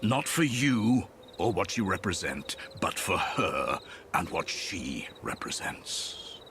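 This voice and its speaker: An actor playing a grave, weary man entrusted with an old secret in a game, speaking solemnly and deliberately. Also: low voice, big pitch swings, background noise, heavily acted.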